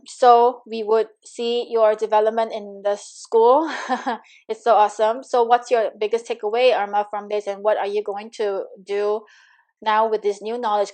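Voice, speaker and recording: A woman's voice: medium pitch, darkish tone. A woman with a Singaporean accent coaching a live online class, chatty and encouraging, reading viewer comments aloud with frequent fillers. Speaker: money coach.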